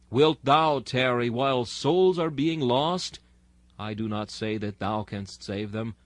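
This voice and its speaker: A man's voice: mid-range voice, no echo. Solemn man preaching, very dry close sound. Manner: exhortatory, rhetorical, solemn. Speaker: sermon reader. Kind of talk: dramatic sermon reading in archaic Victorian English.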